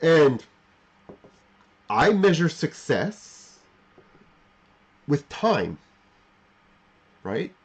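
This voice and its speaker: A man's voice: fairly high pitch, big pitch swings, drawn-out words, pauses often, husky. A man in his forties hosting a livestream, talking off the cuff and reflectively, often repeating and rephrasing himself with emphasis. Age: 40s. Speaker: livestream host. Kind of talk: unscripted reflective monologue to live chat.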